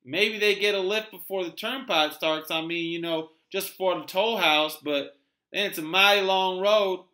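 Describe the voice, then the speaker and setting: A man's voice: high voice, sharp tone, pitched above the performer's own voice. A man voicing a chatty, folksy gas station attendant who talks colloquially and sounds incredulous, in a dramatic read-aloud. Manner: colloquial, drawn-out country talk. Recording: roomy echo, clear background noise.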